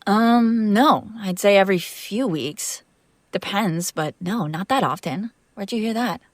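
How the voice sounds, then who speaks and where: A woman's voice: mid-range voice, a little slow, dry recording, natural voice. A woman playing a female vampire master, an exasperated but protective caretaker, in a conversational, sardonic audio roleplay. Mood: flustered, defensive. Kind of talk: intimate audio roleplay acting, one side of a conversation.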